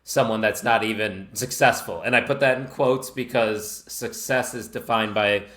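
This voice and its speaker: A man's voice: fairly low pitch, fairly even intonation, normal speed, fairly bright tone. An American man with a Chicago accent, a podcast guest talking in an earnest, enthusiastic way that drifts off the point. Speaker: podcast guest, wrestling network founder. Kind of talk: casual podcast chat.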